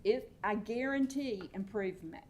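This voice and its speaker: A woman's voice: medium pitch, normal speed, darkish tone, slight background noise. Earnest woman rallying a board. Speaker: guest speaker. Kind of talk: public address to a board.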